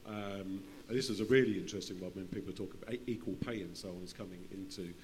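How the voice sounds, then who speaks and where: A man's voice: sits low, fast talker. A man speaking off the cuff on a conference panel, often repeating and restarting his phrases. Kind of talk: unscripted panel discussion. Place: conference session in a large room. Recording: hall reverb with faint hum and noise.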